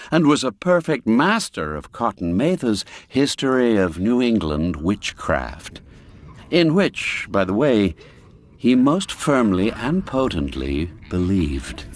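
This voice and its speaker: A man narrates classic fiction as a measured, old-fashioned storyteller. His pace is slow and his pitch moves widely through each phrase. The recording is close and dry. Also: sing-song, dry recording. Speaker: narrator and audiobook reader. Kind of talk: scripted literary storytelling narration.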